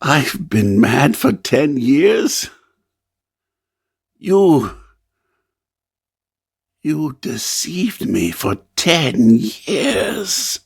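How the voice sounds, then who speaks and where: Slow, halting, anguished madman. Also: fairly high pitch, sing-song, vocal fry, breathy edge, full character voice. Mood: disbelieving anguish. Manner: halting, stammering, theatrical. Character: tormented amnesiac composer in an asylum, anguished madman. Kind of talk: old-time radio drama acting.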